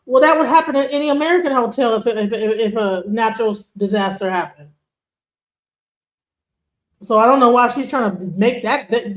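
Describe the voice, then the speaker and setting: Incredulous woman in an echoey room. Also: on the high side. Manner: incredulous, rhetorical questions. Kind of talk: opinionated video commentary.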